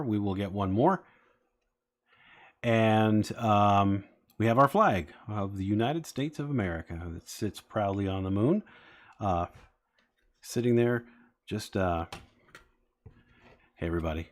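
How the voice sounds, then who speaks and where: Forties man, lowish voice, relaxed rambling streamer talking to his chat. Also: unhurried, pauses often. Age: 40s. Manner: rambling, chatting to viewers with many fillers. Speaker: streamer building toy sets. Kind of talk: casual live-stream chat.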